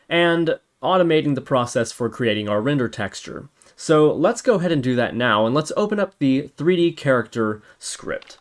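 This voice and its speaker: A man hosting a programming tutorial, explaining each step methodically and thinking aloud as he works. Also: medium pitch. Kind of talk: screen-recorded coding tutorial, explaining step by step.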